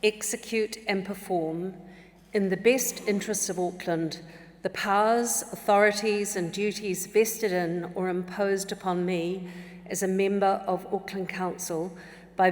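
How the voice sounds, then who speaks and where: A woman councillor reciting her oath of office clearly and deliberately in a large council chamber. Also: medium pitch, fairly even intonation. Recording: long hall reverb.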